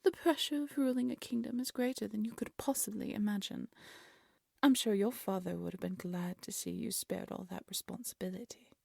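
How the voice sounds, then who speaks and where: A woman playing a haughty, cruel evil queen in a fairy-tale audio drama, speaking in an imperious, condescending way with a breathy voice. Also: medium pitch, a little fast, warm tone, no echo, clean studio sound. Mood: coldly condescending. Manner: imperious, scolding, condescending, theatrical.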